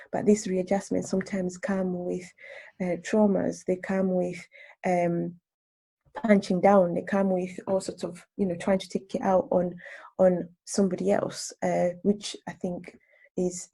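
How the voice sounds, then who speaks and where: A woman with a mellow, husky voice talks through serious points in a radio discussion. She is halting and thoughtful, circling back over words, with a fairly flat melody. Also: lowish voice. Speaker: radio discussion speaker introducing a topic. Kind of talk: reflective spoken radio discussion.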